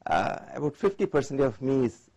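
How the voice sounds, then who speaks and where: Man, Indian accent, 40s, conference lecturer, lively, talks to the audience. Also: mid-range voice, brisk, breathy edge. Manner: lively, engaging the audience with questions. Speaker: Ayurvedic doctor, guest lecturer.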